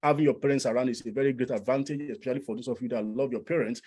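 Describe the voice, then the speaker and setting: A man's voice: medium pitch, steady tone, racing, a little mellow, breathy edge. A man with a Nigerian accent explaining things as a coach to an audience, persuasive and repeating phrases for emphasis. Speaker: immigration coach and presenter. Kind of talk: informal explanatory presentation to an audience.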